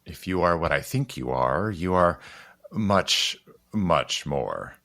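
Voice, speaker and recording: An actor playing a stern, guarded and protective gentleman with secret knowledge in an audio drama, speaking intensely with dramatic pauses. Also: low voice, lively intonation, gravelly, full character voice. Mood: guarded, grave.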